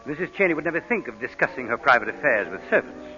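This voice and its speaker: An actor playing a polite, discreet English butler in old radio drama style, deferential and guarded with quiet insolence. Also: male voice, medium pitch, expressive, fast. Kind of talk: 1940s radio acting. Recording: muffled old radio broadcast, music behind.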